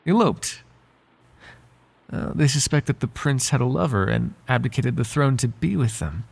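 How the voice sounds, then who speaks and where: Male actor as devoted, courtly butler for ASMR roleplay; soft-spoken, husky, slightly gravelly, politely flirtatious, prone to hesitation. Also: medium pitch, animated, normal speed. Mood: uneasy curiosity. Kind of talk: ASMR roleplay voice acting, intimate one-sided dialogue.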